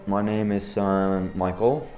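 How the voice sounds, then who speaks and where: A teenage boy from Norway hosting a joking school podcast, talking in deadpan, slangy banter. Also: fairly low pitch, slow pace, reverberant, dull audio. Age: teens. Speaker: podcast host. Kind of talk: joking student podcast interview.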